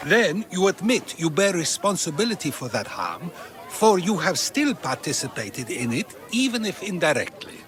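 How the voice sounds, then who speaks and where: An actor playing an earnest, sly Greek philosopher in a video game, speaking in measured rhetorical questions. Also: male voice, high-pitched, big pitch swings, fairly bright tone, a faint crowd. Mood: probing, persuasive. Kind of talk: video game dialogue acting.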